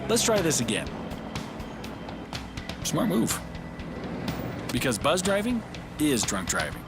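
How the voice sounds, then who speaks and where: A cocky man coaching a driver in a public service spot. His fairly high voice swings expressively over loud music. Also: wildly swooping pitch, slow, gravelly, heavily acted. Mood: wry warning. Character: cocky coaching inner voice, hyping then warning a driver.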